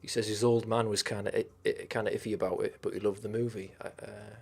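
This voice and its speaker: A man's voice: on the low side, little pitch movement, quick pace, creaky. A man with a Teesside accent hosting a live stream, chatting casually and swearing as he reads and answers viewer comments. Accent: Teesside English. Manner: rambling and sweary, with frequent restarts.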